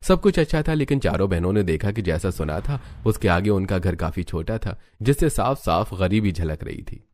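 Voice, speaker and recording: A man's voice: sits low, animated, moderate pace, hardly any pauses, a little mellow. A man narrating a scripted family story for a cartoon, as a storyteller.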